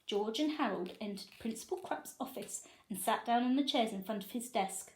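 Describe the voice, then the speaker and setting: Female storyteller, slightly high-pitched, giving an expressive children's book reading. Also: normal speed, rough recording.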